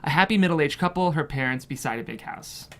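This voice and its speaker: A narrator reading screenplay stage directions in an expressive, measured way at a table read. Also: medium pitch.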